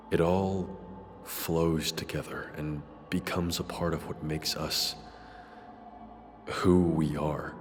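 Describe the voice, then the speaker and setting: Man acting a very deep-voiced, brooding noir detective. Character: brooding, philosophical male detective in a future noir. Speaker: detective character and narrator. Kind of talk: scripted noir drama dialogue and reflective monologue. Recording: long reverb, music behind.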